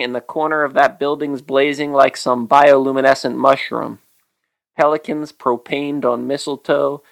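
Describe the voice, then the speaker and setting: A man hosting a podcast, chatting easily with chuckles and reading a poem of his own slowly. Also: mid-range voice, vocal fry, a bit bright, poor audio. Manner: easygoing, chuckling, reads poem slowly and deliberately. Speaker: podcast host. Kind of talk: casual podcast chat and poetry reading.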